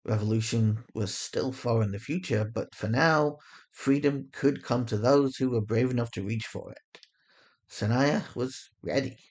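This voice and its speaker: Male storyteller, mid-range husky voice, deliberate dramatic delivery of scripted fiction. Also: medium pitch, darkish tone. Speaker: story narrator.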